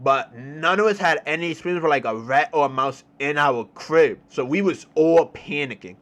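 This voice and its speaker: A young man with a New York accent telling a story in a casual, slangy, animated way, repeating phrases for emphasis. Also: a little high, normal speed. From New York City, United States. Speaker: storytelling streamer.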